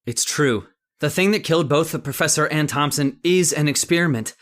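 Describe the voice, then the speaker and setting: A man plays a worried man of science in an old-time radio horror drama. His voice sits a little high, and he talks formally and earnestly, as a cautious, principled doctor would. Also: brisk, fairly bright tone, lightly acted. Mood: resigned, earnest.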